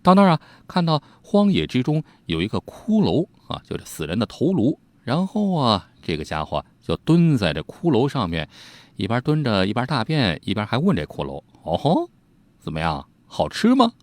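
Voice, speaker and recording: Male storyteller, colloquial and animated, teasing asides, very dry close sound. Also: medium pitch, normal speed, no echo. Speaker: storyteller, host. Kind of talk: informal storytelling narration of old ghost tales.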